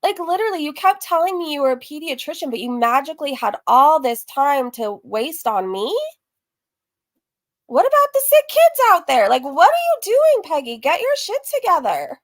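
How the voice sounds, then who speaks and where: Female reaction host: slightly high pitch, casual, mocking commentary, dry room sound. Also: sing-song, normal speed, dry recording. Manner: teasing, mocking. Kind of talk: casual commentary to live viewers.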